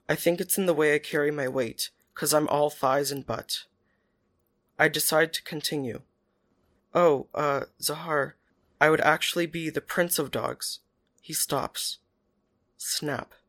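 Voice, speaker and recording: A man reads a first-person story aloud in a quiet, even manner. His voice sits a bit high for a man. He keeps the telling steady and unhurried. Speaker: story narrator.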